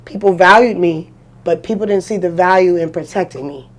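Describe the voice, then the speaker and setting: A woman's voice: lowish voice, brisk. Candid, emphatic woman in her forties. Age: 40s. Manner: candid, emphatic storytelling with slang and cursing. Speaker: podcast guest. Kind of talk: casual chat. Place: podcast studio.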